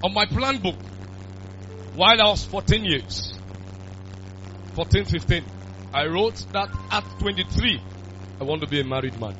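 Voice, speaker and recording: A man, preaching a sermon with loud bursts of emphasis. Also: sits high, animated, normal speed, pauses often, slight background noise. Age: 20s. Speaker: pastor. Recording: dull lo-fi sound with music.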